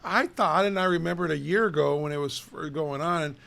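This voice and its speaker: A middle-aged man with a raspy voice, co-hosting a casual local chat show and telling stories in an animated, chatty way. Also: fairly high pitch, a little fast. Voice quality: raspy today. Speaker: co-host, city official.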